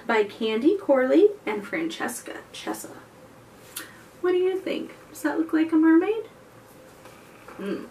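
A woman in her thirties hosting a children's storytime, presenting and reading aloud expressively and deliberately for small children. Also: sits high, very animated, mellow. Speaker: children's librarian, storytime host. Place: indoor room before a chalkboard wall. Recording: distant mic, faint background noise.

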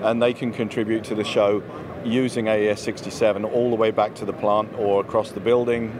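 A man's voice: fairly low pitch, flat delivery, fairly quick. A man in his forties giving a conversational product explanation as an interview guest, into a handheld microphone. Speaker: company executive, interview guest. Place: trade show booth, indoors. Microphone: handheld microphone held by interviewer. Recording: clear busy trade-show floor noise.

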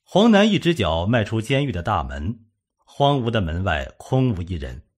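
Male narrator, suspense audiobook, low pitch, lively intonation, scripted delivery. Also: moderate pace.

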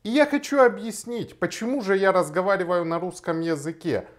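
A man's voice: high voice, a little fast. A man in his thirties from Kharkiv, Ukraine, a lawyer speaking straight to camera in an emphatic, argumentative way. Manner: emphatic, argumentative, gestures while talking. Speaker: lawyer and blogger. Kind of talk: direct-to-camera video reply. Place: small indoor room, plain wall.

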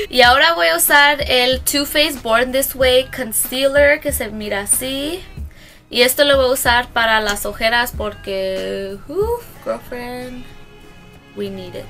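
Bright-voiced young woman, hesitant Spanglish vlogger. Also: fairly high pitch. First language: English. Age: young adult. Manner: hesitant, mixes in English words, self-correcting, giggly. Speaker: beauty vlogger. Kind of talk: casual makeup tutorial. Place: small room indoors.

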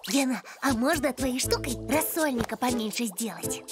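A woman's voice: high voice, lively intonation, moderate pace. A woman voicing a small, curious and earnest pup child in a children's cartoon, speaking in a naive, childlike way. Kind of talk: animated children's series voice acting. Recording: music behind the voice.

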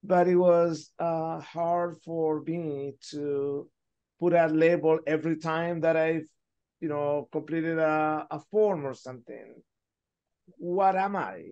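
A middle-aged man from Chile talks slowly and thoughtfully through a video-call headset, often stopping to search for the right word. His voice sits a touch high and sounds smooth and resonant. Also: fairly even intonation, a little mellow, dull audio. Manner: thoughtful, halting, searches for words. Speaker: panelist. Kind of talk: reflective panel discussion.

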